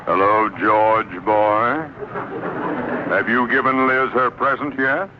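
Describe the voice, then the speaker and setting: An actor playing an impatient, blustering older American boss in an old radio sitcom, bossy, emphatic and sardonic. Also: male voice, medium pitch, fairly even intonation, slow pace, natural voice. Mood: urgent, irked. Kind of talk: 1940s-50s radio comedy acting. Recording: dull, noisy old radio broadcast recording.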